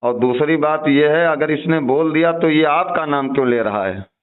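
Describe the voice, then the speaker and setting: Tinny phone-line man, higher-pitched and halting. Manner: hesitant, halting delivery with repeated false starts. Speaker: caller. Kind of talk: deferential phone conversation. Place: phone call. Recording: tinny narrowband phone call recording.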